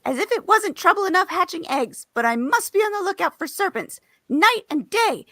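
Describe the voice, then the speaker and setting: A woman's voice: extremely high pitch, big pitch swings, normal speed, bright, forceful tone, no room sound, exaggerated voice. A woman voicing a frantic, indignant mother pigeon in a storybook live read, scolding shrilly and with exasperation. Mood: exasperated. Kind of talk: live reading of an abridged classic story.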